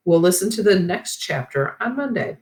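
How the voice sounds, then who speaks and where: A woman reading a children's storybook aloud like a teacher, with expressive and deliberate phrasing. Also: fairly low pitch, reverberant.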